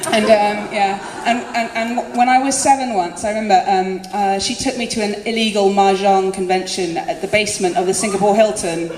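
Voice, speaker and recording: A woman's voice: mid-range voice, fairly even intonation, normal speed, few pauses, a little mellow. A woman performing spoken word live, chatty and self-deprecating, often laughing between lines. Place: live concert venue. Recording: echoey hall with faint room noise.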